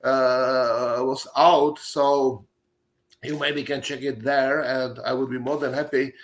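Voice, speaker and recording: A man in his seventies with a Czech accent, a native Czech speaker giving an academic lecture, pausing often on fillers and restarting phrases. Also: medium pitch, level tone. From Czech Republic. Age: 70s. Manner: halting, many fillers and restarts.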